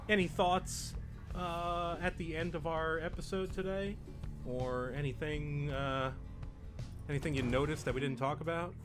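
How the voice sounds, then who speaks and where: A man's voice: a little high, fairly even intonation, unhurried. Man hosting a tabletop game over music. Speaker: game master and host. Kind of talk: casual tabletop game session chat and narration. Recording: music playing behind the voice.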